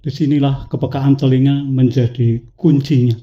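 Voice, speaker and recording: A man with a cough reading a poetic prepared talk to an audience, slow and measured, with dramatic pauses. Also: mid-range voice, fairly even intonation, highs cut off. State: has a cough. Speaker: speaker at a talk event.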